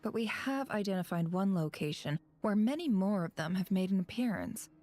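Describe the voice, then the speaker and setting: A woman playing a composed female scholar-official in a video game, formal and measured as she explains. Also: medium pitch, expressive, warm tone, natural voice. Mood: measured, serious.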